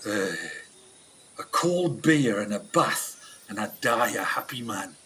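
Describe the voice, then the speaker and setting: An actor playing a cynical, complaining research scientist on a jungle expedition in a radio play. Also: male voice, lowish voice, lively intonation, takes it slowly, bright, forceful tone, a little hiss or hum, exaggerated voice. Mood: grumbling, sardonic. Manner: gruff complaining, sardonic, mild swearing.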